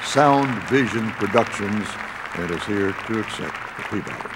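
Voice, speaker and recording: A man's voice: fairly low pitch, lively intonation, moderate pace, a crowd in the background. A man reading a scripted announcement as the presenter of an awards ceremony. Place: large hall at an awards ceremony. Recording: echoey hall, audience noise.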